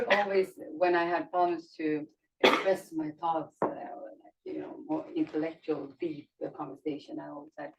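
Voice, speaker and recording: A woman speaking on a panel in a halting, self-correcting way, often laughing. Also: sits low, flat delivery, creaky. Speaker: roundtable panelist. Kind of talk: conversational panel remarks. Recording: distant, dull mic with faint noise.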